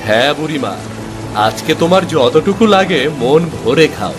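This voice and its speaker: A man's voice: a little high, lively intonation, moderate pace, music in the background, close to an everyday voice. A man voicing a good-hearted, eager poor village husband, earnest and folksy, in a cartoon folk tale. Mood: generous, kind.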